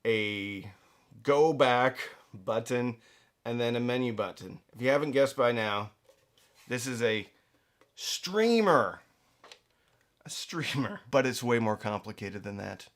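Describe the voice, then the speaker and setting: A man's voice: medium pitch, animated, slow, long pauses. Casual man reviewing audio gear. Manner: conversational, playful asides, emphatic stresses. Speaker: reviewer and host of a budget audio gear channel. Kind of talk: casual product review talk to camera.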